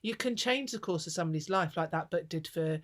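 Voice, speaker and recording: A woman's voice: fairly low pitch, lively intonation, a little fast. A woman from England hosting a casual conversation, talking in a thoughtful, rambling way. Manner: thoughtful, rambling, with many fillers and restarts. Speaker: host.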